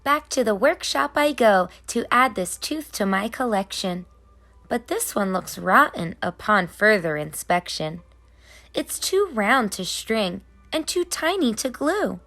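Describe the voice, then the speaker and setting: A woman reading as a busy, whimsical, gently scolding tooth fairy in a children's storybook, in rhyme. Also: medium pitch, lively intonation, a little slow. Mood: puzzled. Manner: sing-song rhyming delivery. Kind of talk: children's storybook narration in character.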